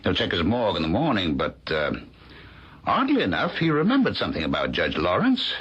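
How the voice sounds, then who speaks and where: A man's voice: low voice, huge pitch swings, fairly quick, a bit bright, husky, exaggerated voice. An actor playing a genial, inquisitive older male professor in a radio drama, avuncular and given to exclamations. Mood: chatty, informative. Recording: muffled old radio drama recording.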